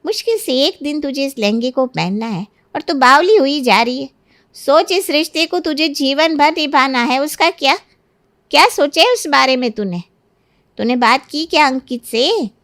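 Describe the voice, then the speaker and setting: A woman's voice: sits high, animated, moderate pace, fairly bright tone, no room sound, studio quality. A woman voicing a scheming middle-aged mother of a bride in a cartoon, nagging and lecturing persuasively. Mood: exasperated. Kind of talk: Hindi cartoon story acting.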